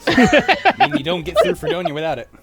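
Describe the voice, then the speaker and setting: A man's voice: very high voice, huge pitch swings, fairly quick, creaky. A young man in casual group chat, talking in a crude, joking, sweary way. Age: young adult. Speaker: show cast member. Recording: music behind. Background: music.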